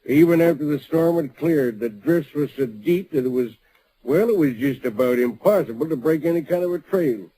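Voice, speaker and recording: A man's voice: fairly high pitch, expressive, normal speed, mellow, slightly rough, no room sound, low-quality recording. A man narrating as a homespun old-west gold miner with frontier grammar, in a vintage radio drama. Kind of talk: 1940s radio acting.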